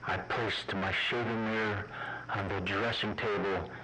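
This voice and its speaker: A man playing an uneasy traveller who narrates his captivity in a radio drama, with deliberate, suspenseful phrasing. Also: fairly low pitch, fairly even intonation, bright, forceful tone, faint music behind, poor audio.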